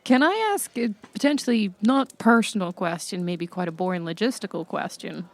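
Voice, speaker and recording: A woman's voice: medium pitch, expressive, normal speed, no echo. A woman chatting casually and politely asking questions as a player in an improvised comedy roleplay game.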